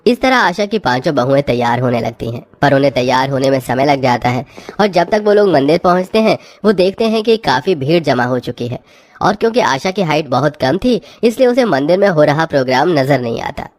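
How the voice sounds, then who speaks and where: A woman's voice: low voice, lively intonation, fairly quick, a little mellow. A woman narrator reading a scripted cartoon story.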